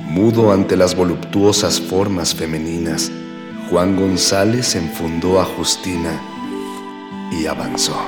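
A man's voice: low-pitched, steady tone, slow pace. A man playing a solemn, florid storyteller, narrating a pulp space radio serial in a pompous, old-fashioned way. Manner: pompous, archaic, florid phrasing. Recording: echoey reverb with soft music.

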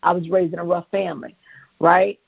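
A woman's voice: fairly low pitch, little pitch movement, a little fast, vocal fry, slightly rough, no echo, lo-fi sound. A woman pastor, preaching to callers and calling for responses on a live prayer line. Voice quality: strong voice. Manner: preachy call-and-response with the listeners. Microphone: phone line.

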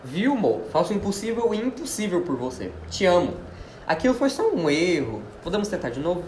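Mulher fazendo um marido infiel bajulador, voz grave. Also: female voice, fairly low pitch, very animated, a little fast, darkish tone. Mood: coaxing. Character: cheating husband, smooth-talking, pleading, dismissive. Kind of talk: amateur radio soap opera acting. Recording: roomy, faint noise, amateur recording.

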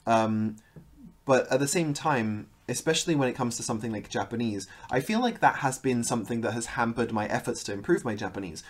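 A man hosts a casual interview with a voice of average pitch. He reflects aloud in a measured way and sometimes hesitates as he works through his thoughts. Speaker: podcast host.

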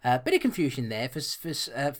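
Animated man on a podcast. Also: medium pitch, fast talker. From England, London. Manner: laughing, animated, self-deprecating banter. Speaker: podcast co-host. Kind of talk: casual chat, reading listener email.

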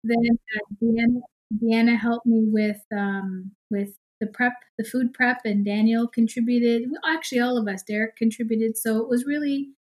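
A woman's voice: a little high, fairly even intonation, normal speed, a little mellow, rough recording. A middle-aged woman, a podcast guest, talking in an upbeat, chatty way. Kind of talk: casual interview chat.

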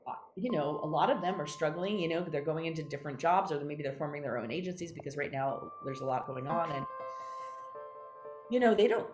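A woman's voice: sits low, brisk, roomy sound, over music. A woman playwright being interviewed, talking candidly and animatedly and restarting her sentences often. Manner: animated, acts out quoted voices, many restarts. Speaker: playwright guest.

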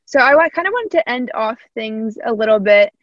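A woman's voice: a little high, very animated, a little fast, no echo, dull audio. A young woman from Indiana with a slight Southern American accent, hosting a casual interview in a thoughtful, rambling way. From Indiana, USA.

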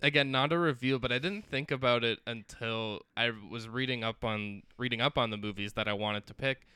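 Man with a bright, smooth voice, rambling. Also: mid-range voice, fairly quick, no room sound. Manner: rambling, many likes and restarts. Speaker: podcast co-host. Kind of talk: casual chat.

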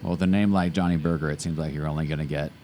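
A man's voice: a bass voice, steady tone, racing. A man co-hosting a podcast interview who jokes and chats playfully with the guest. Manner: joking, playful. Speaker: podcast co-host. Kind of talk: casual interview chat. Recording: clear background noise.

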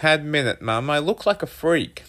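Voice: medium pitch, lively intonation, normal speed, fairly bright tone, voice pushed up, close to an everyday voice. A narrator voicing a self-conscious schoolboy in a storybook, whiny, sarcastic and complaining. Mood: glum.

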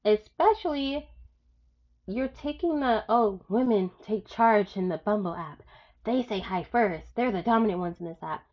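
A young woman gives frank dating advice in a casual monologue, her nose audibly stuffed up from a cold. She talks bluntly with slang and swearing and jumps suddenly into high, loud bursts. Her voice sits at a middle pitch, and the recording is slightly dull and lo-fi. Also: animated, a little slow. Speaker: vlogger giving dating advice.

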